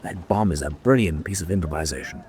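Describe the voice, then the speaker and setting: A man's voice: deep voice, quick pace, a little mellow, natural voice. A man playing a pragmatic, watchful senior prisoner-of-war officer with a clipped, appraising manner in a radio drama. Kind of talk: vintage-style war radio drama acting. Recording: noisy track with background ambience.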